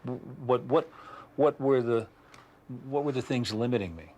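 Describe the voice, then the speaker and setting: A man hosting a documentary who asks questions in a halting way and often repeats words. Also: mid-range voice, darkish tone. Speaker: host.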